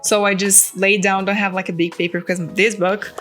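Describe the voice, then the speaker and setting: A woman's voice: medium pitch, little pitch movement, fairly quick, a bit bright, faint music behind. A young woman from Brazil with a Brazilian Portuguese accent, speaking English as a second language, giving earnest, encouraging study tips in a casual chat to her viewers. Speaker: vlogger. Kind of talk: casual direct-to-camera advice.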